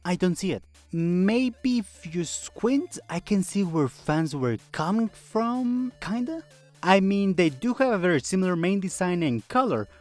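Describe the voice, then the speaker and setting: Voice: medium pitch, animated, unhurried, faint music behind. A video essayist narrating a scripted fandom video in a conversational way, with rhetorical questions and wry asides.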